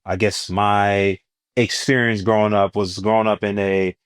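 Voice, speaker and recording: A young man with a New Jersey accent and a fairly low voice, chatting as an interview guest. He talks loosely with slang and gets animated as he tells stories. The sound is dry and close. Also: a little slow, smooth, no echo. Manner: laid-back, slangy, animated when telling stories. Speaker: guest, stand-up comedian. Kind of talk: casual interview chat.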